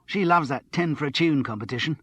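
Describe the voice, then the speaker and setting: A man's voice: on the high side, brisk, husky, dry recording, pitched above the performer's own voice. A man voicing a chatty, ingratiating pub barman in an audiobook story. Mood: fawning. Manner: eager, ingratiating.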